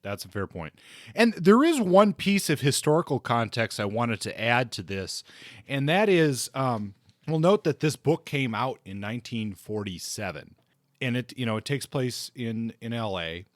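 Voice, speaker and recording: A man's voice: mid-range voice, big pitch swings, moderate pace, no room sound, clean studio sound. A man co-hosting a book podcast, explaining background in a casual way and often circling back to rephrase his points. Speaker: podcast co-host discussing books.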